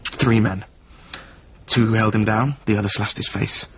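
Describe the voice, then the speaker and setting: A man's voice: fairly low pitch, steady tone, unhurried. An actor playing a weary, plain-spoken man in a radio drama, talking in terse, matter-of-fact fragments. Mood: grim. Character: weary, plain-spoken former companion of a doomed painter. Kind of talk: naturalistic radio drama acting. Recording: muffled recording with faint background noise.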